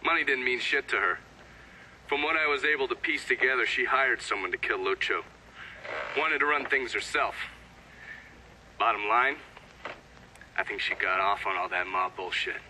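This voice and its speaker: An actor playing a cynical, profane intelligence agent testifying in a video game, blunt and sardonic. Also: male voice, mid-range voice, moderate pace, pauses often, sharp tone, slight background noise, rough recording. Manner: blunt, sardonic, matter-of-fact.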